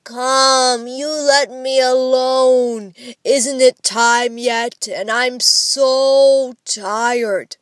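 A woman's voice: high-pitched, steady tone, extremely slow, clean tone, dry recording, clean studio sound, voice pushed up. A woman voicing a grown man who whines and pleads like a sleepy, put-upon child. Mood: drowsy whining. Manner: whining, pleading.

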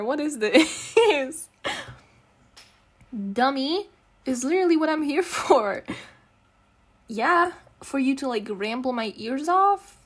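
A woman acts out an affectionate, giggly girlfriend in an intimate ASMR roleplay. Her voice is soft and breathy, swinging widely in pitch as she teases and comforts at an unhurried pace. She is a non-native English speaker who rambles fondly with pet names. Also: exaggerated voice. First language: not English. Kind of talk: intimate girlfriend roleplay ASMR, casual improvised-sounding chat.

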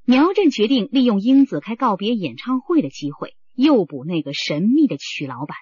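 Female narrator, scripted crime drama: animated, husky delivery, slightly muffled recording. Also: medium pitch, moderate pace, dry recording.

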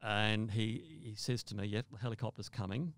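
A man's voice: on the low side, moderate pace, creaky, a bit bright, dry recording. An older man telling a first-person story conversationally as a podcast guest, often repeating and rephrasing his words.

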